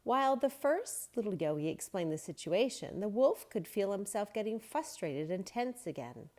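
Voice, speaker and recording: A woman reading a children's story aloud in a gentle, expressive, unhurried way. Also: mid-range voice. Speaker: storybook reader.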